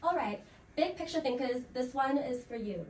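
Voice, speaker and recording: A woman's voice: sits high, expressive, normal speed. Echoey woman game show host with music. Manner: playful, teasing banter. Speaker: game show host of a student role play. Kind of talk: scripted game show hosting. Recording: echoey, distant mic, music behind.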